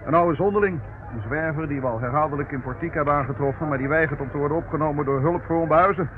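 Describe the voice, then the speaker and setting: An actor playing an eager, efficient male police officer in a radio play, reporting briskly to his superiors. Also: fairly high pitch, lightly acted. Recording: narrowband old radio recording, clear noise.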